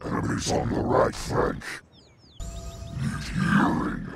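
An actor voicing a huge, menacing dark dragon for a video game, haughty and theatrical, with a growling, roaring delivery. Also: male voice, takes it slowly, faint music behind, close to an everyday voice. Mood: commanding. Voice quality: deep, gravelly growling roar. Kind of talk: video game character voice lines.

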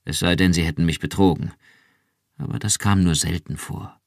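A man voicing a cautious husband who speaks carefully and quietly pleads. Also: low-pitched, fairly even intonation, vocal fry, no room sound, studio quality. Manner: careful, measured.

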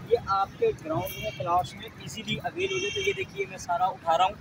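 A man with a Pakistani accent presents an informal tutorial in a high-pitched voice. He explains emphatically, often repeating his phrases. The mic sits at a distance, and there is clear background noise. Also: sing-song, fairly quick. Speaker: angler presenting a fishing tutorial. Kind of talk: informal how-to vlog narration. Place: outdoors in a park, later another spot after leaving it. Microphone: phone camera, some distance away.

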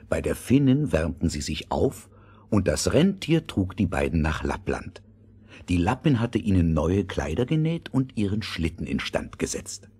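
A man narrating a scripted fairy tale in a measured, expressive storytelling manner. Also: sits low, slightly rough. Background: music.

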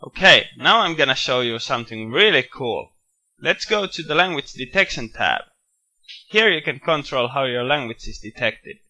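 A man walking through a software screencast, explaining each step in a steady, matter-of-fact way with bits of enthusiasm. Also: medium pitch, normal speed. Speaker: software tutorial presenter. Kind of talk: screencast walkthrough narration.

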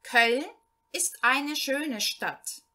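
A woman reading the lines of a man introducing himself, slowly and clearly, in a beginner language lesson. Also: high-pitched, bright, forceful tone, exaggerated voice. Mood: plain. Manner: slow, clearly repeated reading for learners. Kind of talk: read-aloud language lesson.

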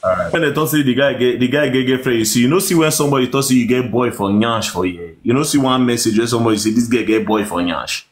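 A man's voice: medium pitch, fast, few pauses. A Nigerian man with a Nigerian accent hosting a phone live stream, teasing his guests in pidgin and laughing often. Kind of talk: pidgin casual chat. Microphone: phone camera.

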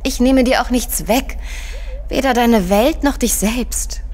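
A woman's voice: fairly high pitch, slow, darkish tone. An actress playing an investigator's tender, direct female companion in a crime audio drama, speaking in an intimate way.

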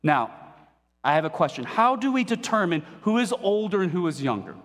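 A man in his forties preaching a sermon from a pulpit, animated and playful, asking the congregation questions. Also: sits high, brisk, faint music behind. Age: 40s. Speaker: pastor. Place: church stage at a pulpit, indoors. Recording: long reverb of a hall.

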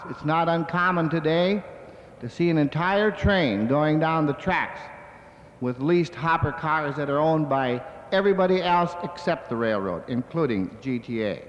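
A man giving a prepared convention speech in a measured, formal way, as an executive of a grain cooperative, on a 1970s recording. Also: fairly high pitch, a little hiss or hum. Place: large convention hall. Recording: dull, echoey hall recording from 1970s.

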